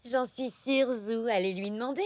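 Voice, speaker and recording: A woman playing a gentle, slightly flustered zebra mother in a French children's cartoon, speaking hesitantly. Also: a little high, moderate pace, lightly acted. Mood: brighter.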